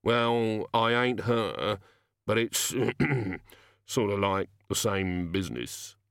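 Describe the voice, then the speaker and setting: Man voicing a hesitant, slow-talking shabby little gnome. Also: fairly low pitch, fairly bright tone, smooth, dry recording, studio quality. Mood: sheepish, hesitant. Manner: hesitant, uneducated street speech. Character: small shabby gnome thief, cornered, pleading, evasive.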